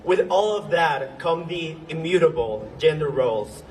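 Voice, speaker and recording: Young male rally speaker, earnest, a bit halting, slightly high voice, phone-recorded from afar outdoors. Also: lively intonation, distant mic, slight background noise, rough recording. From Venezuela. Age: young adult. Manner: earnest, halting at times, with ums and restarts. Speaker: speaker at a protest march. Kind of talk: impassioned rally speech. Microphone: phone recording from the crowd.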